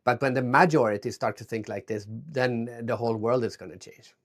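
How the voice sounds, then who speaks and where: A man's voice: mid-range voice, brisk. Swedish-born man speaking reflectively. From Sweden. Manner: reflective, enthusiastic, with some restarts. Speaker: guest, author and speaker. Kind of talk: conversational interview answers. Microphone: video call.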